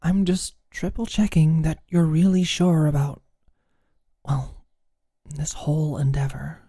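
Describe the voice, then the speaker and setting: An actor playing a teasing, caring British office manager in an intimate ASMR roleplay, speaking softly and breathily with a dry manner. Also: mid-range voice, flat delivery, measured pace, no room sound. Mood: earnest, hesitant. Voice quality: soft, breathy, often near-whispered. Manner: dry, gently teasing, solicitous.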